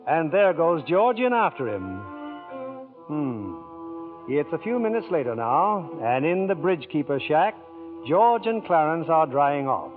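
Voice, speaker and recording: A man hosting and narrating a 1940s radio drama with a smooth, showman-like delivery. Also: on the high side, very animated, a little slow, soft background music. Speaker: radio producer and host. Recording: tinny 1940s radio broadcast.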